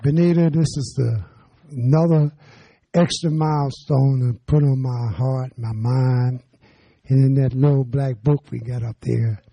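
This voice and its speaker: Slow-talking older man, dark dry voice. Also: medium pitch, fairly even intonation, creaky, slightly rough. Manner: slow, deliberate, folksy. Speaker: senior program participant.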